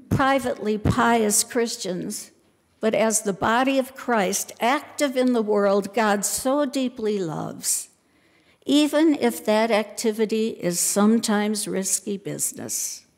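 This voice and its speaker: An older woman preaches a sermon at an unhurried pace, leaning on careful lists and pauses. Her voice sits a little high. The sound rings with the long reverb of a church sanctuary. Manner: slow, measured preaching with deliberate lists. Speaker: pastor.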